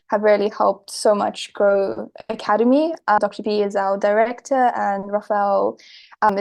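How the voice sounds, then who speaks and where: Earnest young woman with a gravelly edge. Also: medium pitch, darkish tone. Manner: earnest, enthusiastic, with fillers. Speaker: program co-coordinator. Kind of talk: hosting an online orientation. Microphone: video call.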